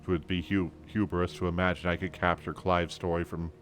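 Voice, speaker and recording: A man's voice: deep voice, level tone, moderate pace, fairly bright tone. A man voicing a florid, wistful wandering bard in a fantasy game, with theatrical, archaic phrasing.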